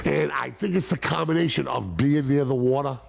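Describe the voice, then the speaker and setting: A man's voice: mid-range voice, a little fast. An older man hosting a casual talk show, rambling and joking with his guests. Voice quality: gravelly, husky. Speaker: host.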